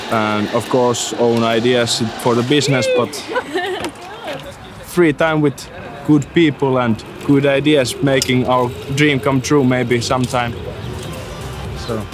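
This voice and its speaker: Young Finnish man at a busy event. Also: medium pitch. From Finland. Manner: hesitant, many fillers, non-native phrasing. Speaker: startup student. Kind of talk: casual interview. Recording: crowd noise around. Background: crowd noise.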